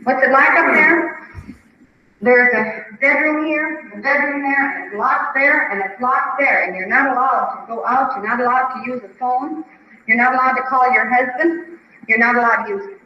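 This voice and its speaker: A woman's voice: sits high, moderate pace, slightly rough. A frightened, tearful woman pleading in agitated, repetitive conversation. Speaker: escaped sanitarium patient held by police. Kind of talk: distressed conversation recorded live on a police ride-along. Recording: dull, noisy vintage radio field recording.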